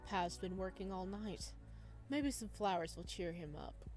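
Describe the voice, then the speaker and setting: A woman's voice: lowish voice, measured pace, a little mellow, soft background music, lightly acted. A woman voicing an earnest, indecisive young man in a visual novel, speaking casually and hesitantly. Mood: thoughtful.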